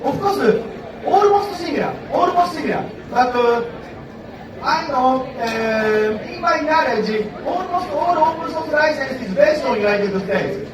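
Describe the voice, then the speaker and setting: Japanese man, halting conference English, high voice, far off in echoing hall. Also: noisy background. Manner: halting, searching for words with many fillers. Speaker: conference speaker. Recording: echoey hall, distant mic, audience around.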